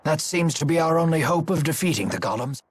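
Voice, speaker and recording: An actor playing a courteous, wise older male steward and strategist in a game, speaking formally and with measured care. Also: on the high side, lively intonation, natural voice. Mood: calm, wry. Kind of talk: English game dub acting. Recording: game soundtrack music behind the voice. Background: background music.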